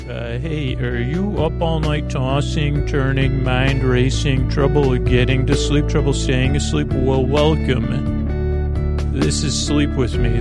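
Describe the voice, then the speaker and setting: Gravelly-voiced man rambling sleepily. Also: medium pitch. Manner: meandering and digressive, drifting off topic; soft, unhurried, self-deprecating. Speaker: podcast host. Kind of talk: rambling bedtime podcast talk.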